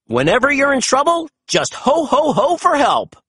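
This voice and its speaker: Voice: high voice, big pitch swings, normal speed, fairly bright tone, no echo, studio quality, voice pushed up. A storybook reader voicing an upbeat, heroic boy leader with encouraging exclamations. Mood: cheerful. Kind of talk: children's storybook character reading.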